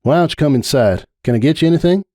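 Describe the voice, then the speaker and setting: A man's voice: a little high, expressive, quick pace, darkish tone, no room sound, clean studio sound, voice pushed up. A man voicing a plainspoken, guarded female farm pony with blunt, folksy questions, in a dramatic story reading. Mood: hospitable.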